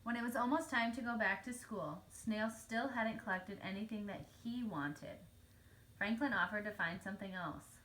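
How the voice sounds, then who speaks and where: A woman reading a children's story aloud as narrator, telling it clearly for young children. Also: mid-range voice, normal speed, fairly bright tone, rough recording. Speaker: storybook reader.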